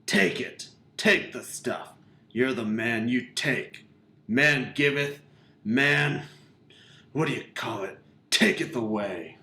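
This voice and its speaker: A man's voice: mid-range voice, takes it slowly, pushed and bright. A man playing a profane, pushy tough guy in a satirical radio play, blunt and repetitive in short bursts. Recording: echoey room.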